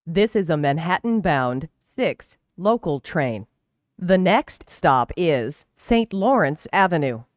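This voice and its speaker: A subway announcer reads scripted service announcements slowly and formally. The pitch moves in lively contours while each word is carefully enunciated. The recording is dry and a little dull. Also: no room sound. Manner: formal, measured, clearly enunciated. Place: recorded train public-address announcement.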